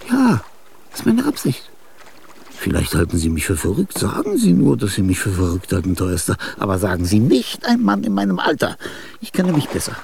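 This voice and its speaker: An actor in a radio drama playing an ailing, aging male genius, restless and grandiose. He speaks confidingly in a husky, gravelly voice, with sudden outbursts and whispers. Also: fairly low pitch, a little mellow, a little hiss or hum, heavily acted. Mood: excited. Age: older. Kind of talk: theatrical radio drama monologue.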